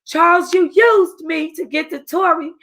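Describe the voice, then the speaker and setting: A woman's voice: very high-pitched, measured pace, fairly bright tone, pitched above the performer's own voice, heavily acted. A woman voicing an enraged young woman who screams at the people who betrayed her. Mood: furious.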